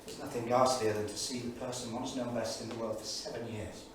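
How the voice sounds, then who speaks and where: An actor playing a grave, anguished English intellectual diarist of 1938, reading aloud in a measured, erudite and emphatic stage manner. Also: male voice, mid-range voice, little pitch movement, natural voice. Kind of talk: theatrical staged reading of a diary. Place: small theatre studio, indoors. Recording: echoey theatre studio from far off, faint noise.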